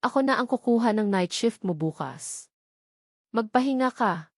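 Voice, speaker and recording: A woman narrator voicing a caring male best friend in a romance story, soft and reassuring. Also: a little high, expressive, moderate pace, dry recording, clean studio sound. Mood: gentle concern.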